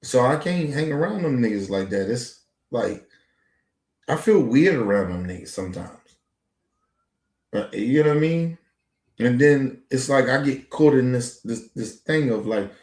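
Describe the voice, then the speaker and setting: A man's voice: medium pitch, animated, measured pace, a little mellow, echoey room. A man in his forties talking in a casual, rambling monologue as a podcast host, heavy on street slang and profanity. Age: 40s.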